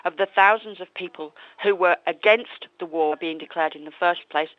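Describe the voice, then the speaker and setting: A woman's voice: on the low side, moderate pace, dry recording. A woman calling in as a listener with a critical, indignant manner. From Essex, England. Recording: thin telephone line.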